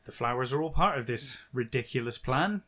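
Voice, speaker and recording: A man's voice: medium pitch, animated, brisk, fairly bright tone. A man co-hosting a podcast, casually recapping a book with dry, sarcastic commentary. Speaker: podcast co-host.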